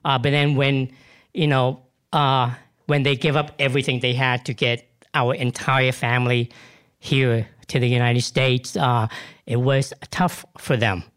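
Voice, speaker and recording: A man's voice: mid-range voice, little pitch movement, unhurried, a bit bright, clean tone. A man in his fifties from Vietnam, an actor answering interview questions in an emotional, hesitant way with many restarts. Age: 50s. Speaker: guest actor.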